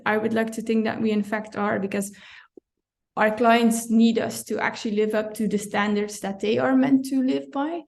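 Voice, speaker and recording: A woman from Belgium with a fairly high, gravelly voice, talking as a guest in a relaxed interview. She works through her answers thoughtfully, pausing, correcting herself and laughing lightly. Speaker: compliance director, guest. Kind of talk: conversational interview.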